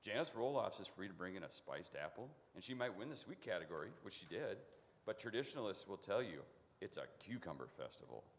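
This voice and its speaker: A man's voice: deep voice, sing-song, fast, a little hiss or hum. Man playing an intense, swooping pickle-contest commentator. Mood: indignant. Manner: emphatic, indignant. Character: intense, touchy male pickle expert commentating like a sportscaster. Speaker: festival commentator. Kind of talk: comic live radio play. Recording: live, reverberant church hall.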